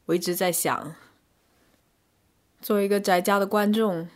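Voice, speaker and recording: A woman narrating a scripted first-person arts review in measured, descriptive short phrases. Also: mid-range voice, fairly even intonation. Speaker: podcast host and reviewer.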